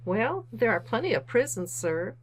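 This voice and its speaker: A woman's voice: a little high, sing-song, normal speed, breathy edge, rough recording. An actress playing a polite, earnest, genteel lady collecting for charity, formal and courteous, in old-time radio drama style. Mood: earnest, persistent.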